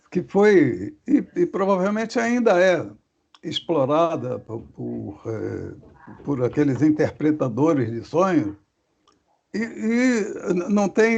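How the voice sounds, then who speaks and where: A man speaks slowly and haltingly, stopping often to search for his words as he leads a religious study discussion. His voice is gravelly and a touch higher than most men's. The audio comes through a dull, lo-fi video call. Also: darkish tone.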